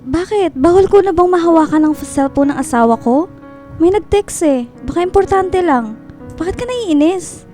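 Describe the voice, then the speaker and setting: A high, gentle-toned wife in her thirties. She is sweet and devoted, yet quick to suspect. It is radio drama acting with a light music bed. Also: lively intonation. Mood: suspicious, confrontational.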